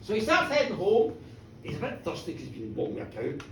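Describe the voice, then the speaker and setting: A woman's voice: lowish voice, huge pitch swings, brisk. A woman telling a folk tale live in a theatrical, colloquial way, repeating phrases for effect. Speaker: storyteller. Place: indoor bar, live performance. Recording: echoey bar, distant mic, background noise.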